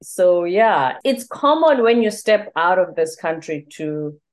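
A woman's voice: lowish voice, animated, slow pace. A woman, a Zulu speaker, hosting an interview in an affirming, conversational way. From South Africa. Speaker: interview host.

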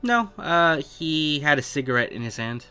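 A man narrating a tabletop roleplaying game as game master, improvising his descriptions in halting phrases. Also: fairly high pitch, sing-song, bright, forceful tone, clear, resonant tone. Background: background music.

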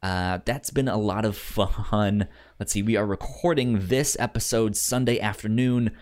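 A man's voice: fairly low pitch, steady tone, unhurried, darkish tone. A man hosting a casual film podcast, who stammers and often repeats the beginnings of words. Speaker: podcast host.

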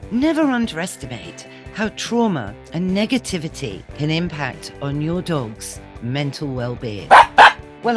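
A woman hosting a podcast in a chatty, casual way, often saying "you know" and laughing lightly. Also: low voice. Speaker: podcast host.

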